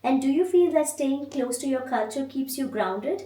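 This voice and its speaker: A woman from India hosting an interview, asking measured, reflective questions. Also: high voice, animated, mellow. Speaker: podcast host. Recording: echoey room, mic at a distance.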